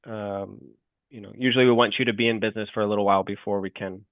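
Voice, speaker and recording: A man's voice: fairly low pitch, fairly even intonation, quick pace, no room sound. American man, webinar Q&A, hesitant with lots of fillers, tinny call audio. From United States. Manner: hesitant, many fillers and restarts. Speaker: lender representative answering questions. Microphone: video call.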